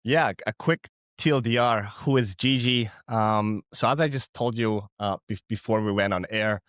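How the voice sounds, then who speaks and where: A man's voice: fairly low pitch, moderate pace, a bit bright, clean tone, no echo, clean studio sound. A man in his thirties from Cyprus, a podcast guest talking casually with frequent restarts and fillers. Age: 30s. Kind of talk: casual interview chat.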